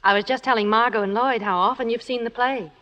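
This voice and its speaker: Actress as a high-voiced, kindly society wife. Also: female voice, steady tone, fast talker, fairly bright tone, lo-fi sound, close to an everyday voice. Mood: friendly. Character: kindly, sociable playwright's wife. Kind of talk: 1940s-50s radio drama acting. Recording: old radio broadcast recording.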